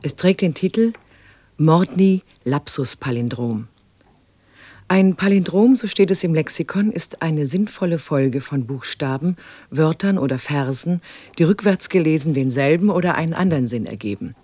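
A man reading a scripted radio introduction to an audio drama in a measured, explanatory way. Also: fairly high pitch, animated, dark tone, dry recording.

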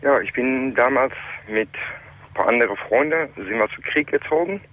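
A man in his late thirties from Iran, calling in to a radio talk show and telling his story in halting, German. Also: mid-range voice, expressive. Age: 30s. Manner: halting, plain non-native German. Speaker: caller. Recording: muffled telephone line.

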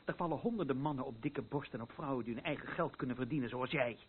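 A man's voice: mid-range voice, fast talker, husky, lightly acted. Acteur als nuchtere man met hese, omfloerste stem. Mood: firm, concerned. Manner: plain-spoken, terse. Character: blunt, down-to-earth man, the troubled woman's confidant. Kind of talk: radio play acting. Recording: muffled narrow phone-like sound.

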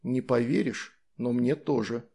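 A man voicing a male talking cat in an audiobook, confident but a little frightened, curious and excitable. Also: mid-range voice, unhurried, creaky, slightly rough. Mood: uneasy.

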